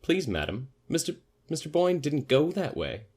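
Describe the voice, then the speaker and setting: A man acts out a parlour maid in a storybook reading, her pitch lifted well up. She is deferential and stiff, with a grudging, quietly superior air. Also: very animated, normal speed, husky, full character voice. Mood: reluctant. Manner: deferential, prim, grudging in answers. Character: stiff, respectful parlour maid, a woman, doggedly self-righteous. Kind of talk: audiobook character voice.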